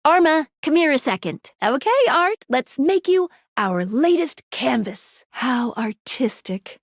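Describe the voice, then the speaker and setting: A woman's voice: high voice, very animated, measured pace, no room sound, clean studio sound, exaggerated voice. A woman voicing a perky, quirky young female guide in a dating video game, with a bubbly, playful, theatrical delivery. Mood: encouraging, excited. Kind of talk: animated video game voice acting.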